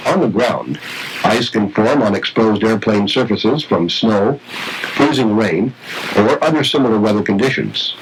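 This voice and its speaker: Low-voiced man narrating, noisy film soundtrack. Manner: formal, measured, precise delivery. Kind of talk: scripted instructional film narration.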